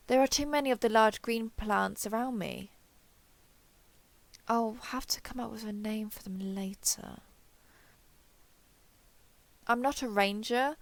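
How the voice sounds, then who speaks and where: Female-performed alien explorer captain for an audio drama log: tough, cocky, wry, fry-edged voice, very dry close sound. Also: mid-range voice, dry recording. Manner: wry, gritty, self-talking, mildly profane.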